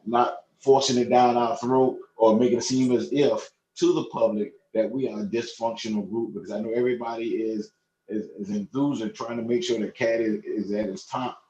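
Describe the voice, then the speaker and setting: A man speaking as a board member in a formal meeting, halting and repeating himself in a conciliatory way. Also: fairly low pitch, warm tone, slight background noise. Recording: muffled, echoey online meeting audio.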